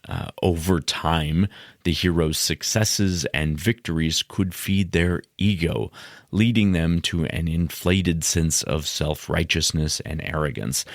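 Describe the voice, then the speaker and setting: A man hosting a podcast, giving scripted explanations in a measured, instructional way. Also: sits low, no room sound, clean studio sound. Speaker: podcast host.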